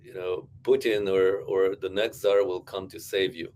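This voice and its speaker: A man's voice: sits low, little pitch movement, a little slow, a little mellow, a little hiss or hum. A man from Ukraine whose first language is Russian, speaking earnestly in a casual panel discussion, with frequent restarts and emphatic claims. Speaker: podcast guest, academic.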